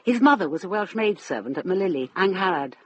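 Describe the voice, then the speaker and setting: A woman acts a middle-aged widow in a radio drama, mistress of a troubled household. Her pitch moves freely as she explains earnestly. The recording is muffled, with faint noise behind it. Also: expressive, fast talker, natural voice. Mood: earnest, explaining. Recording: muffled band, noticeable hiss.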